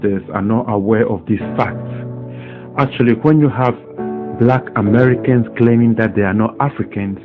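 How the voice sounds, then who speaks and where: A man giving explanatory commentary, speaking in a measured, deliberate way. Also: on the low side. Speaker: narrator. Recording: phone-like audio with music behind.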